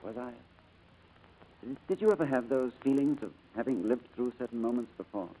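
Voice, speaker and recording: An actor playing a reserved, courteous gentleman with a hesitant, formal manner in a 1940s radio drama. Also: medium pitch, expressive, normal speed, close to an everyday voice. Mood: earnest, hesitant. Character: reserved, courteous wealthy English businessman, haunted by lost past. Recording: noisy vintage radio broadcast.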